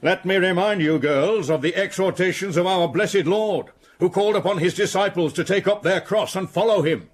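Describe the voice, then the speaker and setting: An actor playing a stern, sanctimonious middle-aged school patron in a radio drama, preaching at the pupils. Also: male voice, high voice, moderate pace, hardly any pauses, a little hiss or hum, lightly acted.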